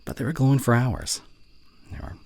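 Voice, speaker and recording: A man playing a snarky but secretly fond young college voice actor in an intimate ASMR roleplay, teasing and grumbling with a breathy voice. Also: mid-range voice, very animated, brisk, a little mellow. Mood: soft, wistful.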